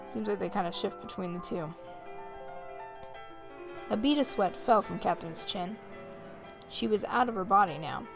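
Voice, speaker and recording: Woman with a creaky voice, reading aloud. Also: medium pitch, normal speed, long pauses, music in the background. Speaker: host of a gaming video series. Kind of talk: let's play commentary, reading visual novel text aloud.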